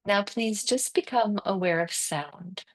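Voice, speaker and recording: A woman teaching guided yoga nidra meditation, speaking calmly and soothingly in short phrases with long pauses between them. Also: medium pitch.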